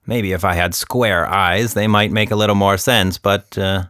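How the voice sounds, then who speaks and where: A man's voice: lowish voice, steady tone, normal speed, a bit bright, smooth, no room sound, studio quality, natural voice. A man voicing a talkative, short-sighted female monkey who rambles and interrupts in a children's story. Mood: matter-of-fact.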